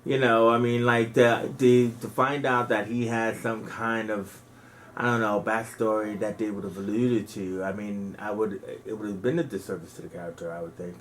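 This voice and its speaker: A man's voice: fairly low pitch, little pitch movement, moderate pace, few pauses, a little hiss or hum, rough recording. A man co-hosting a casual film chat, asking questions with frequent fillers. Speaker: co-host.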